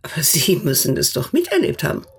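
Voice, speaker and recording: A stern, protective sister in a radio drama. Her voice is low and very husky, with a gravelly edge, and she speaks in blunt, formal, commanding phrases. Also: huge pitch swings, fairly quick, heavily acted. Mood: probing. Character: stern, protective older sister of a famous artist. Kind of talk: German radio drama acting.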